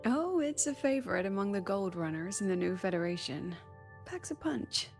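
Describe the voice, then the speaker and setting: An actress playing a weary, wry academy president in a video game, sly and chatty, talking conversationally with sighs and coy pauses. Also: female voice, mid-range voice, lively intonation, natural voice. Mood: relaxed, wistful. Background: background music.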